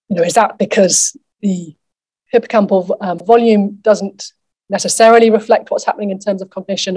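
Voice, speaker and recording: A middle-aged woman delivers an academic keynote lecture in a mid-pitched voice. Her delivery is measured and explanatory, as a researcher presenting to a conference. She speaks at a lectern in a lecture theatre. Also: a little mellow, low-quality recording.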